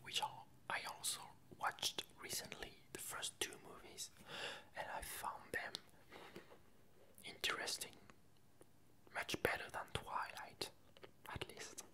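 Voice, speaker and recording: Slow, whispering ASMR woman. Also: rough recording. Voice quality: breathy whisper. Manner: slow, halting, with long pauses. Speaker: ASMR video creator. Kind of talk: whispered ASMR casual chat.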